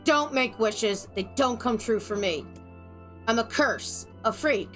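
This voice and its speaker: A woman's voice: fairly high pitch, slow, fairly bright tone, soft background music. A woman voicing an anguished, dying young woman in a story reading, pleading and full of self-loathing. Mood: despairing.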